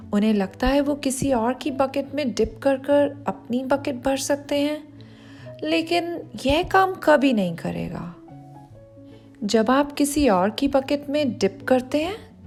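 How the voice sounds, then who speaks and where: A woman reading a picture book aloud to children, explaining as she goes and speaking straight to the young listener. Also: high voice. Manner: explanatory, addresses the child listener directly, glosses words. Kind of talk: expressive read-aloud narration for children.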